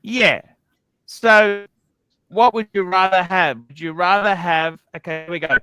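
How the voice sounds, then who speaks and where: A man hosting a casual livestream chat about what he would rather own. He talks bluntly, swears freely and keeps checking in with "okay?". His voice sits high and sounds smooth and resonant, recorded close with no room sound. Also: fairly even intonation, measured pace, sharp tone, no room sound. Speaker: livestream host.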